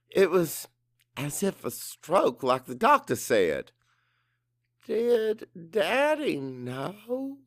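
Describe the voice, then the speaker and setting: A man narrating a dramatic first-person audiobook in an emotive, deliberate way with pauses for effect. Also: mid-range voice, fairly bright tone, dry recording, studio quality.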